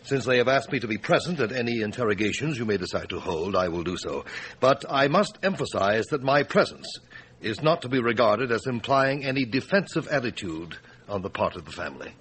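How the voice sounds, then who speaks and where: A man's voice: mid-range voice, animated, brisk, a bit bright, a little hiss or hum, lightly acted, poor audio. A man playing a polite, guarded family lawyer, youngish to middle-aged, in a radio drama, speaking formally and carefully with a conciliatory manner. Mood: diplomatic, guarded. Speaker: supporting actor in a detective radio drama.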